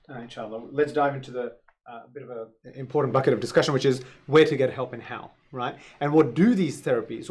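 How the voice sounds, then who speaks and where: A man's voice: medium pitch, slightly rough. A man hosting a panel discussion, putting questions in an informal, conversational way and often checking in as he goes. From India. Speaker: host.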